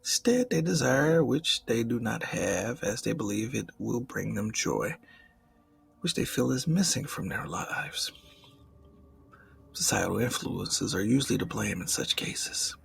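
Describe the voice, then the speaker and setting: A man playing an ancient, suave and jaded male demon in an ASMR roleplay, speaking in formal, theatrical, half-whispered phrases with a breathy, gravelly voice. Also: lowish voice, lively intonation, measured pace, fairly bright tone, faint music behind, full character voice. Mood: philosophical, lecturing.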